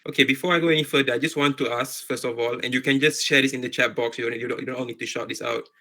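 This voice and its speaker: A young man from Malaysia with a Malaysian accent gives an informal presentation. His voice is bright and fairly flat in pitch. He speaks haltingly, often repeating a word or two before he finishes the thought. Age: young adult. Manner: hesitant, repeats words and rephrases often. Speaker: medical doctor, guest speaker. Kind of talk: informal online presentation to students.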